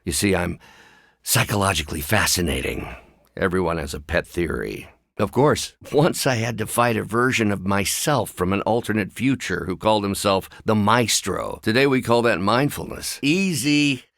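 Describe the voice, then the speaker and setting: An actor voicing a brilliant, anxious, wry scientist with buried anger in a video game, speaking in precise, articulate, dryly sardonic phrases. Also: male voice, fairly low pitch, moderate pace, vocal fry, fairly bright tone, no room sound, studio quality. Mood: friendly, wry.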